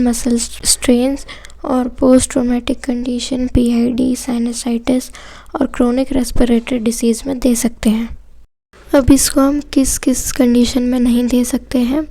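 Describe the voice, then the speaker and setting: A woman's voice: a little high, dark tone, rough recording. A woman with an Indian accent giving an explanatory lesson as a lecturer, going simply point by point and mixing in English technical terms. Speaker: physiotherapy lecturer.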